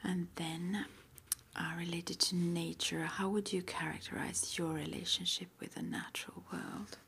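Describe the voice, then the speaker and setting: Whispered ASMR roleplay: woman as gentle, reassuring witch school interviewer, unhurried, lowish and creaky, faint background noise. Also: level tone. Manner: soft, unhurried, reassuring, with murmured acknowledgements.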